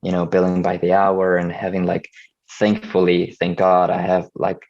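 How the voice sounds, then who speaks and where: A man's voice: deep voice, monotone, normal speed, a little mellow, dull audio. A man from Venezuela, a video editor talking over a call in a hesitant way, restarting his words often. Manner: hesitant, frequent restarts and stammers. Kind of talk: casual video call conversation.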